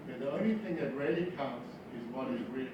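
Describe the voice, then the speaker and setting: A man's voice: mid-range voice, expressive, quick pace, noisy background. South African male speaker, wry and informal business talk, very reverberant room, distant mic. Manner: wry, self-deprecating humor. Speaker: business founder, guest speaker. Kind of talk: informal motivational business speech.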